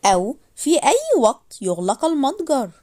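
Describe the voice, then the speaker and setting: High, lively woman teaching. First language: Arabic. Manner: repeats phrases slowly, then translates briskly. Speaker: language teacher. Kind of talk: slow phrase drilling for learners.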